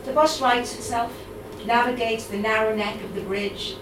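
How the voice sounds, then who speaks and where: A middle-aged Irish woman with a Dublin accent, giving a live poetry reading, reciting in a measured way and chatting hesitantly with fillers between poems. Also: fairly high pitch, noisy background. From Ireland. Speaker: poet. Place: live reading event in a room. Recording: roomy, distant mic, audible room ambience.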